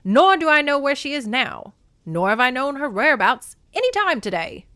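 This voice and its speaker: A woman's voice: sits high, big pitch swings, normal speed, bright, forceful tone, no room sound, pitched above the performer's own voice, exaggerated voice. An insistent male messenger god in 1940s movie-actor style, performed by a woman reading a storybook. He protests in a raised, emphatic voice. The sound is dry. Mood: emphatic denial. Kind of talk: 1940s film actor delivery.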